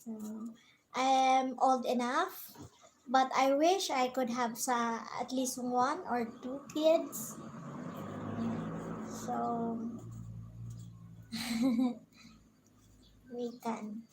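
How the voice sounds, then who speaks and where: A woman's voice: a little high, very slow, pauses often, a little hiss or hum, low-quality recording. A Filipina woman in her forties with a Filipino accent, chatting casually with a viewer on a live stream, asking questions and laughing often. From Philippines. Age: 40s.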